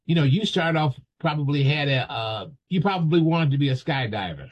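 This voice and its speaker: Male talk-show host, unhurried and halting, slightly husky, recorded on muffled lo-fi audio. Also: on the high side, lively intonation. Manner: halting, many fillers and restarts. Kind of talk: casual interview.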